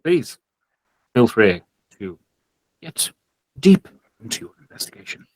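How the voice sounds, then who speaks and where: A man voicing a pompous, fawning village priest in a tabletop game, with halting, formal, unctuous speech. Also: medium pitch, very animated, dry recording, low-quality recording. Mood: reverent.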